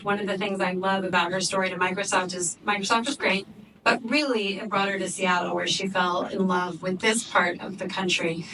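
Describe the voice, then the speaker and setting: A woman's voice: medium pitch, sharp tone. Woman moderator, enthusiastic, lots of ums, distant mic, noisy room. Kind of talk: introducing a guest at a fireside chat. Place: office event space, live audience. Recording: distant mic with background noise.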